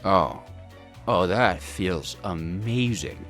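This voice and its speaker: A man's voice: low-pitched, animated, drawn-out words, fairly bright tone, soft background music. A man narrating a gaming video in a casual scripted style, with a playfully dramatic delivery.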